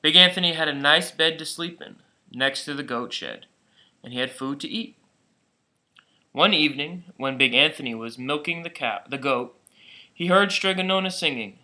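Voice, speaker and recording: Bright-voiced man reading a children's story. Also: a little high, moderate pace. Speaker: storybook reader. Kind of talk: read-aloud children's story narration.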